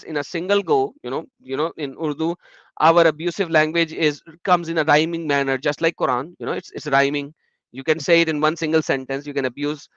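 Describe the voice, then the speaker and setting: A man hosting a casual discussion, animated and repeating his phrases. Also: high-pitched, no echo. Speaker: host. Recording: muffled lo-fi sound.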